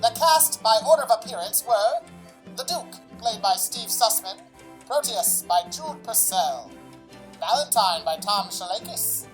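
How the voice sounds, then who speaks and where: A woman's voice: on the high side, low-quality recording. A woman narrating and announcing a radio drama in a scripted, playful old-time serial style.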